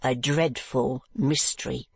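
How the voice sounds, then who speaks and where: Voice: medium pitch, fairly even intonation, takes it slowly, creaky, husky, no echo, exaggerated voice. A reader voicing a severe, suspicious wife who speaks curtly and coldly. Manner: curt and rigid.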